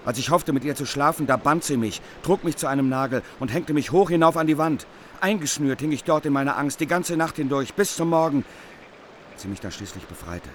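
A man's voice: fairly high pitch, lively intonation, brisk, slight background noise, close to an everyday voice. Humiliated, anxious king played by a man. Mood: bitter, ashamed. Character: humiliated, anxious king Gunther, pleading and plaintive. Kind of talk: literary German radio drama acting.

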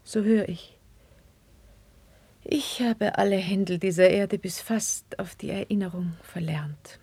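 A woman playing a gentle, dignified young queen in 1950s classical radio drama, with measured, formal stage diction. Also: medium pitch, slightly rough, no echo. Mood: gracious, guarded. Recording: dry 1959 radio drama recording.

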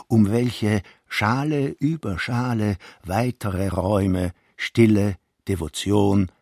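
A man reading literary narration for a radio play in a measured, formal way. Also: lowish voice, darkish tone, husky, no room sound, studio quality.

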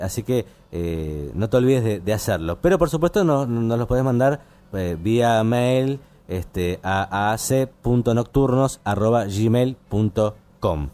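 A man with a Buenos Aires Argentine accent hosting casual late-night radio, explaining things in a halting, rambling way. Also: fairly low pitch, warm tone. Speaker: radio host.

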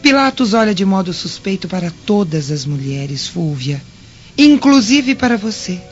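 A woman's voice: mid-range voice, very animated, moderate pace, natural voice. A Brazilian woman acting a dignified, suspicious Roman governor's wife in a radio drama, composed and pointed. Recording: muffled lo-fi audio, music underneath.

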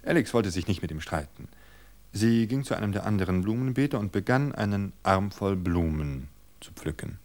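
A man narrating a novel in a calm, measured storytelling voice. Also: on the low side.

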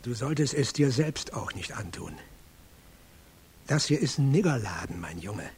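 An actor playing a courteous but wary male barkeeper in a radio crime drama, with a rough, husky voice. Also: mid-range voice, very animated, unhurried, darkish tone, slight background noise. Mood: warning, uneasy.

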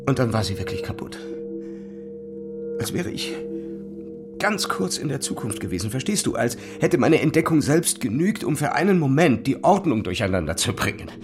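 An actor playing an obsessed, paranoid physicist in a radio drama, speaking in an intense, halting, urgent manner. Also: male voice, mid-range voice, close to an everyday voice. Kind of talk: German radio drama acting. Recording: music under the voice. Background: music.

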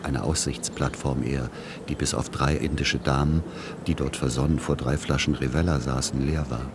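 A man playing a world-weary writer who narrates as a son in a literary radio play, measured and dry. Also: very deep voice, fairly even intonation, background noise. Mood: wry, measured.

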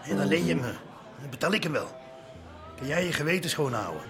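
Radio drama cop: wry, easygoing, teasing, highish lively voice, music behind. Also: male voice, fairly quick. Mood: cajoling. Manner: teasing, informal. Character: wry, easygoing policeman who drinks on duty.

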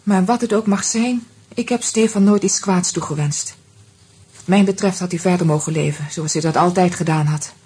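An actress playing a composed, resigned middle-aged woman, speaking in a measured, subdued way in a Dutch radio drama. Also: lowish voice, warm tone, slightly rough. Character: Vera, resigned, composed woman recalling old sorrows.

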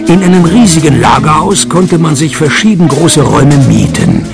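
An actor playing a sardonic, world-weary private detective who narrates and talks in a deadpan, laconic way in a comic noir radio play. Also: male voice, fairly high pitch, normal speed, gravelly, loud music behind the voice. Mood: dry narrating. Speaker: lead character and narrator.

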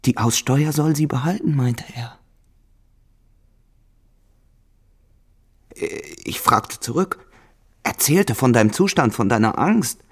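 An actor playing a poor, jealous and possessive farmhand in a German radio drama, with a brooding, insistent and menacing manner and a gravelly, husky voice. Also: male voice, medium pitch, animated, moderate pace. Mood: agitated.

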